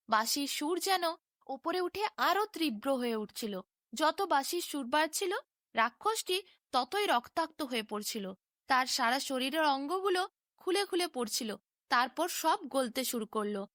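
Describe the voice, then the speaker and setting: A woman tells a scripted fairy tale for bedtime with dramatic delivery. Her voice is high and swings widely in pitch as she narrates. The sound is very dry, with hardly any room in it. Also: a bit bright, no echo. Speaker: storyteller.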